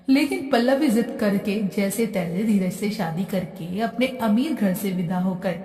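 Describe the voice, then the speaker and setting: A woman's voice: medium pitch, darkish tone. Echoey woman narrating a moral story. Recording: echoey hall-like reverb, distant.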